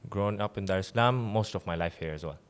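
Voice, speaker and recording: Young male guest from Tanzania, low-pitched and easygoing, recounting his path with a smile. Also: fast. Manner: relaxed storytelling with light laughs. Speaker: guest, seminary student. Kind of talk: casual interview.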